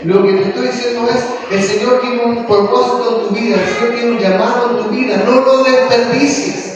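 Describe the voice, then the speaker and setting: High-voiced preacher in an echoey hall. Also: male voice, steady tone, a little fast, far from the mic, noisy background. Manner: impassioned preaching with repeated rhetorical questions. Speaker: pastor. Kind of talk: sermon. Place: church hall.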